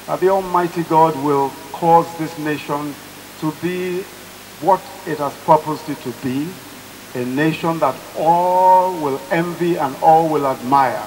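A man's voice: fairly high pitch, a little mellow, slight background noise, rough recording. A Nigerian man leading a fervent public prayer in a rhythmic cadence, holding a handheld microphone in a church. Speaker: clergyman praying. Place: large church hall.

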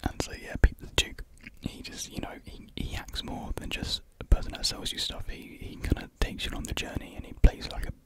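A young man speaks in a breathy whisper close to the microphone, rambling softly and hesitantly in a casual ASMR chat. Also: fairly quick, few pauses. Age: young adult. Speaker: ASMR video creator. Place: small room with painted block walls. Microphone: large desktop USB condenser microphone, close up.

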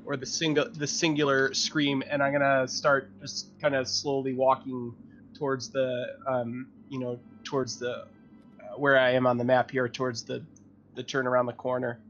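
A man's voice: medium pitch, flat delivery, normal speed, fairly bright tone. A man chatting casually as a player in a tabletop roleplaying game. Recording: dull lo-fi audio with music.

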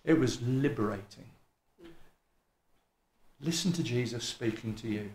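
A middle-aged man with an East Midlands accent tinged with Welsh, preaching a sermon at a lectern in a small church hall, animated and chatty, acting out what people say. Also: medium pitch, measured pace, a little hiss or hum. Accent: East Midlands English with some Welsh. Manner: animated, conversational, self-mocking, acts out people talking. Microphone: stand mic at a lectern, filmed by a camera across the room.

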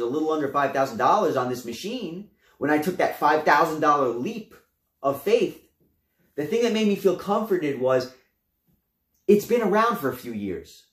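A young man giving a casual, animated product review to camera from a small room at home, talking straight to his viewers. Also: fairly high pitch. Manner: animated, gestures a lot, addresses viewers as guys. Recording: roomy echo.

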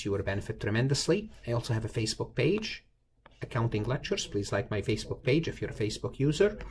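A man's voice: medium pitch, slight background noise. A man giving an informal step-by-step tutorial as a lecturer, often repeating and rephrasing himself in a conversational way. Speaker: accounting lecturer. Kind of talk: informal screen-recorded tutorial, explaining step by step.

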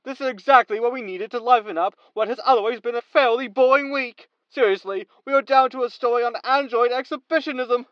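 A woman's voice: a little high, fairly quick, hardly any pauses, dry recording, highs cut off, close to an everyday voice. A woman playing a slick, cynical tabloid media boss in a sci-fi comedy audio drama, with a sardonic, theatrical manner. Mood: dry, sardonic. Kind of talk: sitcom audio drama acting.

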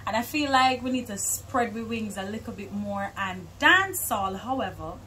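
A young adult woman with a Jamaican accent, chatting in an animated, informal way as a musician being interviewed. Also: mid-range voice, normal speed, a little hiss or hum, rough recording. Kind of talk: casual interview chat. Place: outdoors on a porch by a garden.

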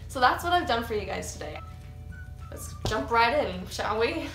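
A woman's voice: fairly high pitch, sing-song, normal speed, a bit bright, roomy sound, far from the mic, soft background music, poor audio. An eighteen-year-old American woman chatting to camera in a casual vlog, talking with her hands. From United States. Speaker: YouTuber. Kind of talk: casual get-to-know-me vlog. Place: small room with cinder block wall and couch, indoors. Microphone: camera mic a few feet away.

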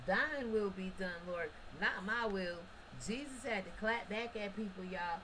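A woman preaching in a loose, colloquial way, firing rhetorical questions at her listeners and stressing points hard. Her voice sits at an ordinary pitch for a woman. A clear wash of background noise runs under the home recording. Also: measured pace, bright, forceful tone, poor audio. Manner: emphatic, rhetorical, addresses listeners directly with frequent asides; casual colloquial speech with restarts and short laughs. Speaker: online religious commentator. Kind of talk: preachy religious commentary monologue.

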